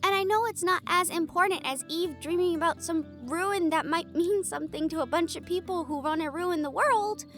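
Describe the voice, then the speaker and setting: A woman's voice: very high voice, moderate pace, few pauses, fairly bright tone, faint music behind. A woman acting in an intimate audio roleplay as an anxious, tender young woman from a family of hunters, speaking earnestly and hesitantly. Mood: worried, earnest.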